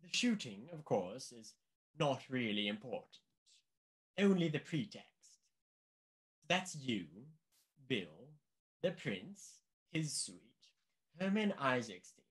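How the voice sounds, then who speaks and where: An actor playing a pompous, condescending British government official in a radio play, speaking formally and emphatically. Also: male voice, mid-range voice, sing-song, takes it slowly, long pauses. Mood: patronizing, fussy.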